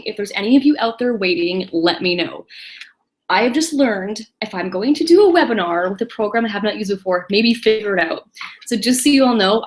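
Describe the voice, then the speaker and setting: Chatty woman hosting a webinar. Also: mid-range voice. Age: 30s. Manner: chatty, apologetic, self-deprecating with quick laughs. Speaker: webinar host. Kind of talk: casual solo webinar presentation.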